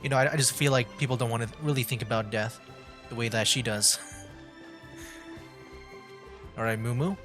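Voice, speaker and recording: A man's voice: mid-range voice, steady tone, moderate pace, pauses often. A man doing casual, rambling livestream commentary on a game, with an amused manner. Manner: rambling, amused, given to chuckling. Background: faint game music.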